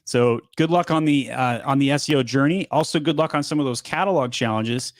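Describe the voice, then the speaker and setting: A man in his thirties hosting a casual live Q&A from a home studio, upbeat and quick, often repeating words as he talks. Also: mid-range voice, dry recording. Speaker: host. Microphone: studio mic on boom arm.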